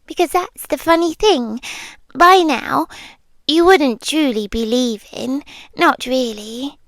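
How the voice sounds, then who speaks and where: A British woman playing a centuries-old, possessively devoted monster girlfriend in an intimate ASMR roleplay, speaking softly and often slipping into breathy whispers. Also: high voice, takes it slowly, no room sound, full character voice. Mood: possessive, tender.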